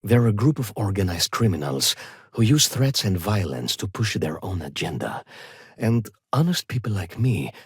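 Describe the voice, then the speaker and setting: An actor playing a fussy, haughty luxury shopkeeper in a sci-fi video game, with a refined, expressive and snippy delivery. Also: male voice, on the low side, moderate pace, slightly rough, no room sound, studio quality, heavily acted. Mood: worried, earnest. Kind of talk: video game voice acting, short standalone dialogue lines.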